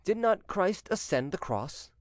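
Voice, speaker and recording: A man's voice: high voice, sing-song, measured pace, no echo, studio quality, voice pushed up. A man voicing a noble young condemned son in a dramatic audiobook, tender, earnest and entreating. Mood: gently resigned. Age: young adult. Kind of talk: dramatic audiobook character reading.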